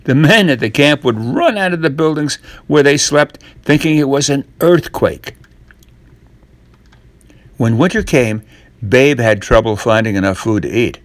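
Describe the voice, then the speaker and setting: Older male storyteller for children's tales. Slow, deliberate delivery, lively intonation, dramatic pauses. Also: mid-range voice, no echo. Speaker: storyteller reading a tall tale.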